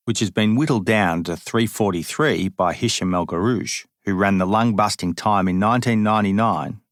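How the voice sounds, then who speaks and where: Man, audiobook memoir reading, deepish voice, dry close mic, measured and wry. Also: no echo. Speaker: audiobook reader. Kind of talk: first-person memoir narration.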